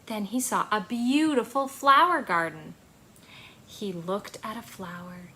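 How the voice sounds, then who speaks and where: A woman in her thirties hosting a children's storytime, speaking in an animated, encouraging way to young listeners in a small home room. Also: mid-range voice, a little slow. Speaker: children's library storytime host. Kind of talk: children's storytime with action rhymes and reading.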